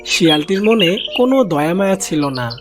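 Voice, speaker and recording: A man narrating a children's cartoon story in a gentle storybook manner, speaking to young listeners as friends. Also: high-pitched, very animated, normal speed. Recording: voice-over with loud background music.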